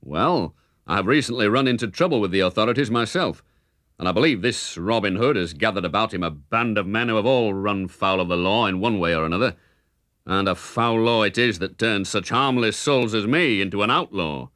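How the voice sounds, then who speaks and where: A man's voice: lowish voice, expressive, a little fast, fairly bright tone, no room sound, studio quality. A man voicing a massive older fighter in a children's storybook, blunt, hearty and commanding. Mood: affable, earnest.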